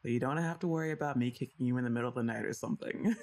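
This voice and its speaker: A young woman performing a scripted ASMR roleplay, talking straight to the listener in a teasing, playfully bossy way, with sighs and soft laughs between lines. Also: a bass voice, rapid-fire.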